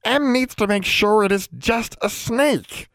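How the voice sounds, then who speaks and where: A man voicing a wizened old female house elf servant, anxious and stern, high-pitched and speaking of herself in the third person. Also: huge pitch swings, unhurried, no echo, exaggerated voice. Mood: urgent, stern.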